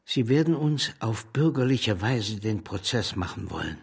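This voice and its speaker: Husky, defiant revolutionary leader. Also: male voice, fairly low pitch, big pitch swings, normal speed, exaggerated voice. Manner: declarative, resolute. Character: defiant, unbowed male communist revolutionary leader. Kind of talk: German radio drama acting.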